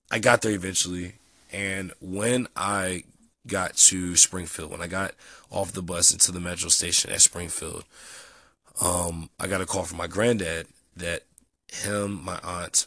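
A young man with a very low, husky voice that sounds nasal and dried out from a cold. He rambles along casually with plenty of ums, bright and forward in tone. The sound is close and dry. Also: no room sound. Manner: relaxed, rambling with many ums. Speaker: vlogger. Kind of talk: casual audio log.

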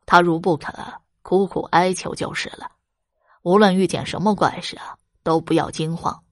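A woman voicing a reluctant old male Taoist priest who speaks in a measured, sighing way. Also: lowish voice, steady tone, no room sound, clean studio sound, natural voice. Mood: grave.

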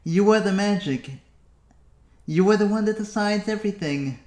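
A man hosting a solo podcast, wandering through his thoughts and pausing often. His voice sits a little high for a man, and it echoes off the walls of the room he is recording in. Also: a little mellow. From United States. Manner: musing, self-talking, with long pauses. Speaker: podcast host. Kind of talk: solo reflective podcast monologue.

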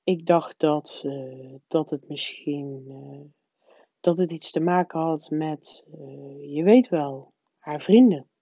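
A man's voice: high-pitched, lively intonation, normal speed, warm tone, husky, no echo, highs cut off. A woman voicing a nervous middle-aged husband who mumbles hesitantly, as in a storybook reading.

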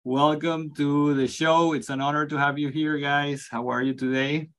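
A man's voice: on the high side, flat delivery, moderate pace, a bit bright, clear, resonant tone. A man hosting a podcast interview, asking friendly questions with many hesitations and filler sounds. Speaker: podcast host.

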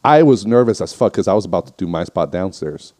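A man's voice: low-pitched, animated, fast talker, dark tone, no echo, studio quality. A man guesting on a casual podcast, an enthusiastic, joking stand-up comic who swears freely.

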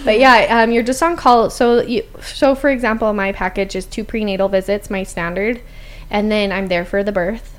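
A woman's voice: medium pitch, steady tone, moderate pace. A woman, a birth doula, explaining her work in an easygoing, casual chat.

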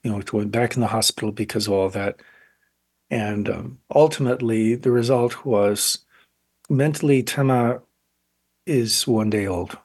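A man in his sixties, an artist interviewed on radio, speaking in a thoughtful, halting way and often pausing to find words. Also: on the low side, steady tone, darkish tone, slightly rough. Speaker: painter, interview guest. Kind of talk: reflective interview talk.

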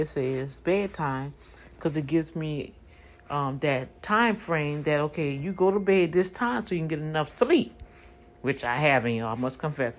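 Low-voiced woman in her fifties. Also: a little hiss or hum. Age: 50s. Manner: conversational, preachy, addresses listeners directly. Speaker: motivational speaker. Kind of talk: casual motivational talk to viewers.

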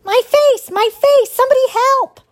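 A woman's voice: very high voice, big pitch swings, normal speed, pitched above the performer's own voice. A woman voicing a cocky, demanding male fox in a storybook, with a pushy, emphatic manner. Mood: pained, pleading.

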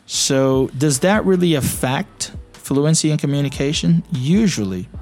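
A man with a Brazilian accent talks his listeners through learning English, coaxing them along and tossing out questions as he goes. His voice sits a touch higher than most men's, and soft music hums faintly beneath him. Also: animated, measured pace. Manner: encouraging, asks listeners questions, frequent "you know" and "okay?". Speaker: English teacher and podcast host. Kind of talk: conversational teaching podcast.

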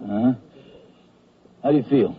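A man plays a lazy Army medic sergeant, gruff, slangy and clueless, in a middle-pitched voice. It comes from 1970s radio comedy with a thin, tinny sound. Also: normal speed, close to an everyday voice. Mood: offhand. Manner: blunt, slangy, offhand. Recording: tinny phone-like old radio broadcast.

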